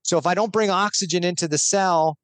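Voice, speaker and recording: A man's voice: sits high, a little fast, no room sound. A man talking as a guest expert on a podcast, explaining earnestly step by step with a few restarts.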